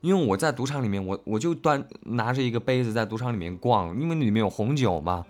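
A male voice actor talking casually and reflectively about his work, earnest and halting, often repeating his phrases. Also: mid-range voice, lively intonation. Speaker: voice actor discussing an audio drama. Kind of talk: casual, reflective cast chat.

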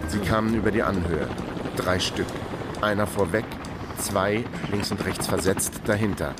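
A man's voice: low voice, unhurried, over loud music. An actor playing a wry, laconic private investigator who narrates his own case in a radio crime drama. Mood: tense, laconic. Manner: laconic, dry.

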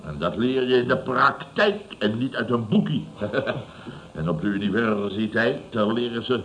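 Man playing a blustering, forceful, pompous boss. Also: mid-range voice, animated, moderate pace, a little hiss or hum, natural voice, low-quality recording. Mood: scornful. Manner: pompous, scornful, repeats himself, laughs at own jokes. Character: blustering, self-satisfied contractor boss, heavily built. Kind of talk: radio comedy serial acting.

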